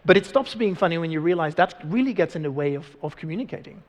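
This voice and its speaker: A native Dutch speaker in his thirties moderating a conference panel through a lapel mic. His voice sits fairly high for a man and trails off into a long hall reverb. He asks questions in a curious, conversational way. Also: lively intonation, quick pace. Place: large conference stage.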